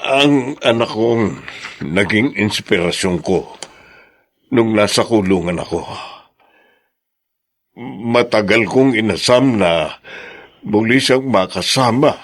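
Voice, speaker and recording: Gentle, humble old Filipino father-in-law, radio drama; slow delivery with very lively intonation. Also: fairly low pitch. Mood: hesitant, wistful. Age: older. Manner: hesitant, humble, soft-hearted. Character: gentle, hurt elderly father-in-law, an ex-convict.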